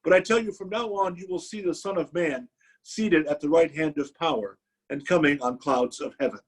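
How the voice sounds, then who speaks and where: A middle-aged male pastor reading scripture dramatically and emphatically in a raspy voice over a video call. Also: sits high, rough recording. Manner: emphatic, declaiming the quoted speech. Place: indoor room, on a video call.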